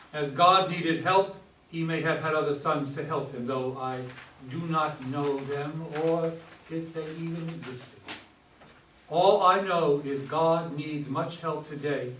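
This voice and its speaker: A man's voice: a little high, slow pace, fairly bright tone. An actor in a stage play reading, playing a solemn, delusional young man who speaks like an oracle, in an echoey room away from the mic. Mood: grave, prophetic. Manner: solemn, oracular, repetitive. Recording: roomy, distant mic, faint noise.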